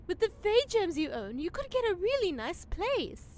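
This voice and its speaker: A woman acts as a haughty fairy lady in a fantasy audio comedy. Her voice is high and swoops with snobbish disdain, theatrical and critical. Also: very animated, moderate pace, a little mellow. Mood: prim, critical. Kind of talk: comedy audio drama acting.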